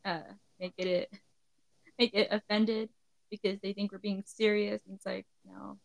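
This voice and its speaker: A woman's voice: medium pitch, little pitch movement, measured pace, creaky, no room sound, poor audio. A woman hosting a podcast, reading her show intro and chatting with a guest, with a voice that sounds younger than she is. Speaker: podcast host. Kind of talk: podcast intro and casual interview.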